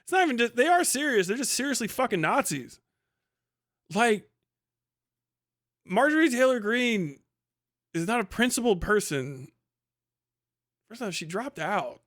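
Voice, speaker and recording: A man's voice: very high-pitched, sing-song, a little slow, long pauses, a bit bright. A man hosting a casual, unscripted livestream commentary, rambling along in slangy, profanity-laced talk. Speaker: livestream host.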